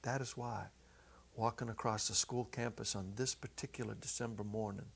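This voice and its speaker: A middle-aged man giving a measured, expressive dramatic reading of a story as narrator. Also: on the low side, gravelly, breathy edge. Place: small indoor set. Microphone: lapel.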